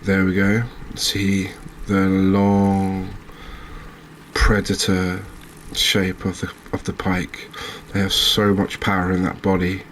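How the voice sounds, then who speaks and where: A man giving soft-spoken ASMR commentary as he plays a video game, in a measured, gentle, chatty manner. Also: low voice, monotone, a little hiss or hum. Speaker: host playing a fishing video game.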